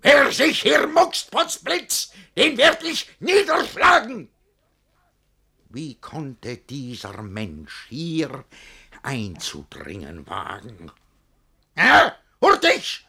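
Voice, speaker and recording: An actor playing a jealous, blustering master of the house in a rhymed radio comedy, theatrical, swinging between brooding mutters and bellowed orders. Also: male voice, on the high side, wildly swooping pitch, drawn-out words, vocal fry, sharp tone, husky, full character voice. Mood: furious.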